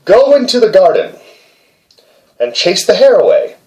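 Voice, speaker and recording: A man's voice: high voice, big pitch swings, moderate pace, darkish tone, pitched above the performer's own voice, poor audio. A man voicing a bossy mother from a storybook, giving commands to her daughter. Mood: commanding.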